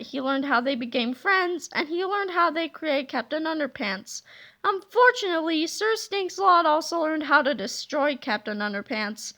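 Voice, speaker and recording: A woman reading a children's chapter book aloud with lively, expressive narration. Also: sits high. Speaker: reader.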